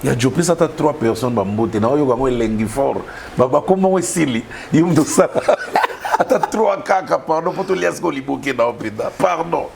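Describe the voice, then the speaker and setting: A man with a Congolese French accent hosts an interview, chatty and quick to joke. His voice is gravelly and his pitch swings widely. A faint hiss runs underneath. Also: animated. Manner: jokey, chatty, laughs often. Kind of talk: casual interview, mixing in Lingala.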